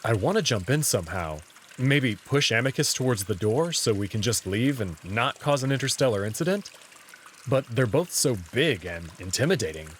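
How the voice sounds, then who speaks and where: A man's voice: medium pitch, big pitch swings, moderate pace, slight background noise. A man reading scripted first-person narration for a visual novel game.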